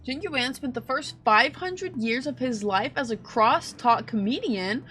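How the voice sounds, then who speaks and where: A young woman streamer giving casual, slangy, joking reaction commentary on a game in an excitable way. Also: sits high, unhurried, a little hiss or hum.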